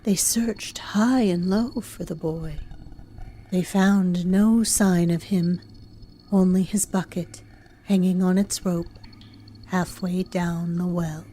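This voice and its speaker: Female narrator for horror audio drama: measured, mellow, ominous, over background ambience. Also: on the low side, steady tone, slight background noise. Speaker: storyteller, narrator.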